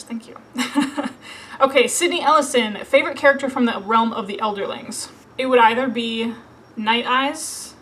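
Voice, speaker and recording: Chatty woman in her thirties, higher voice. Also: a bit bright, slight background noise. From Minnesota, USA. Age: 30s. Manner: chatty, filler-heavy, laughs often. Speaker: book vlogger. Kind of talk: casual Q&A to camera.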